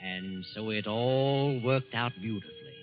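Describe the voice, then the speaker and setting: Narrator: American male, dramatic 1940s radio delivery with pauses, dull vintage broadcast sound, music underneath. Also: mid-range voice, huge pitch swings. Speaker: narrator and announcer.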